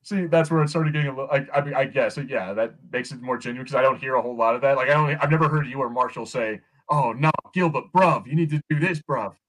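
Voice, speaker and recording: A man's voice: mid-range voice, fast talker, hardly any pauses, fairly bright tone, low-quality recording. An American man co-hosting a podcast, chatting casually and rambling as he rephrases his points in a review discussion. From United States. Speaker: podcast co-host. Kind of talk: casual film and TV review chat.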